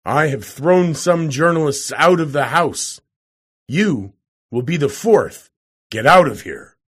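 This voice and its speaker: A man's voice: on the high side, sing-song, measured pace, husky, pitched above the performer's own voice, full character voice. A man voicing a hot-tempered, arrogant professor in an audiobook, bellowing and mocking as he challenges people. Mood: menacing, furious. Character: huge, bearded, roaring, hot-tempered, arrogant male professor. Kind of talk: audiobook character voice.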